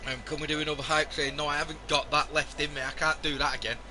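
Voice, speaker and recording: A man commentating a live sim racing stream, hoarse and losing his voice, joking wearily with the chat. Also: fairly high pitch, little pitch movement, quick pace, noisy background. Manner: weary, self-mocking banter with the chat.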